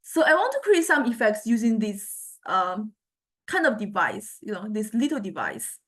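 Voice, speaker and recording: A woman with a Chinese accent giving an informal software tutorial as a lecturer, speaking haltingly with repeated words and frequent checking tags like okay and right. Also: mid-range voice, big pitch swings. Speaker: university lecturer in music technology. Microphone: small condenser microphone.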